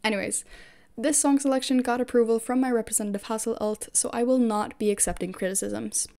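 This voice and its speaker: A woman's voice: a little high, fairly even intonation, fairly quick, vocal fry, warm tone. A woman giving a scripted, opinionated voice-over about her fan picks for a music group. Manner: opinionated, chatty, fan-enthusiast delivery. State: recovered from losing her voice. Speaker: K-pop fan video creator.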